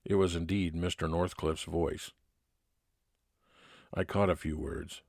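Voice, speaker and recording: A man playing a level-headed, determined 1950s private investigator, narrating in a measured old-time radio detective style. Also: low voice, steady tone, darkish tone, no room sound, clean studio sound, heavily acted. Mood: measured, resolute.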